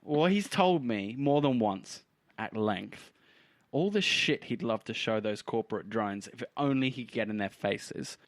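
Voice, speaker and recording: A man's voice: medium pitch, animated, measured pace, no echo. Man playing a persuasive rebel professor, close and dry. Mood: persuasive, coaxing. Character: scheming rebel professor giving orders, profane, persuasive.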